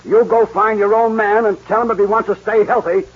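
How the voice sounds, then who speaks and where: An actor playing a ruthless, domineering land baron and gang boss in an old-time radio western, folksy and threatening. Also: male voice, sits high, fairly even intonation, brisk. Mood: angry, threatening. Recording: muffled, noisy vintage radio recording.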